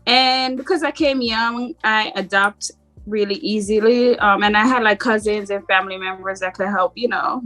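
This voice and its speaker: A middle-aged woman with a slight Jamaican accent, talking about herself in a relaxed, rambling way as an interview guest. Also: medium pitch, normal speed, soft background music, rough recording. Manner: relaxed, rambling, frequent "like" fillers. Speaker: boutique owner guest. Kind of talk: casual interview chat.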